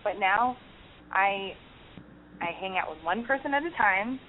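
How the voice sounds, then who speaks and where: A woman's voice: medium pitch, animated. Woman podcast host, chatty and run-on, narrow lo-fi phone-like audio with faint hiss. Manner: talkative, quick restarts, frequent "like". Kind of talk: casual call-in chat and storytelling.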